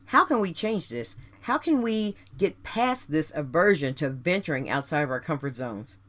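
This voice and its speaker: Expressive woman giving a motivational monologue. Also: low-pitched. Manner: deliberate, emphatic phrasing with rhetorical questions. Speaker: radio show host. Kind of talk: scripted motivational monologue addressed to listeners.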